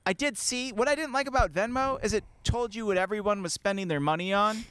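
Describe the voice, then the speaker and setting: A man's voice: high voice, fast, a bit bright, smooth, no room sound. A young man co-hosting a podcast, playful and jokey, chatting casually and swooping his voice up for effect. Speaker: podcast co-host. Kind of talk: casual banter. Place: outdoors.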